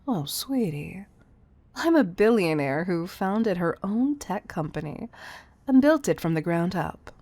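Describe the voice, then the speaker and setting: A woman's voice: lowish voice, very animated, a little slow, mellow, no echo. A woman playing a condescending billionaire CEO who gives commanding tough love in an intimate audio roleplay. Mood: smug.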